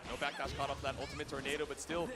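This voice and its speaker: A man doing play-by-play esports commentary on a fighting game in rapid, jargon-packed patter. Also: a little high, completely flat delivery.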